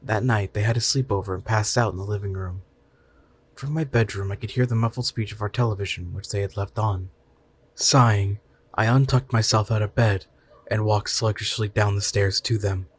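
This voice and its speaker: A man's voice: lowish voice, fairly even intonation, normal speed, breathy edge. A man narrating a scripted short story in the first person.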